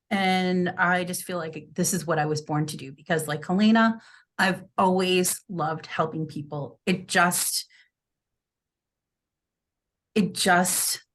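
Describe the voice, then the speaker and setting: A woman's voice: fairly low pitch, fairly bright tone. A middle-aged woman from the US Midwest, a real estate agent introducing herself on a podcast in a friendly, upbeat way.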